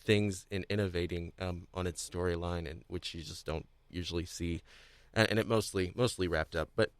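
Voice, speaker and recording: A man with a fairly low voice, recorded close and dry. He chats casually as a podcast co-host, rambling and circling back as he works out his phrasing, with a self-deprecating, jokey streak. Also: steady tone, normal speed, dry recording. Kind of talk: casual panel chat.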